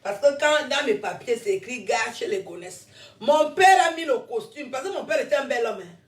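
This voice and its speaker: A woman's voice: sits high, lively intonation, normal speed. A woman in her fifties, born in France, giving a combative, rambling monologue to camera and laughing between her jabs. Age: 50s. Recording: roomy echo, distant from mic.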